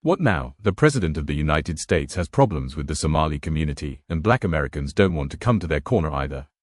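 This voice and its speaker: Male narrator, extremely low voice, dry sound, scripted commentary. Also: animated, fast, dry recording.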